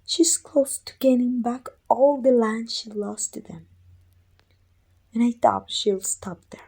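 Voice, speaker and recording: A woman playing a proud, weary evil queen and sorceress in an ASMR roleplay, with a husky, breathy voice and an imperious, deliberate delivery. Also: a little high, warm tone, heavily acted. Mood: grave, brooding. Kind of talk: scripted ASMR roleplay acting.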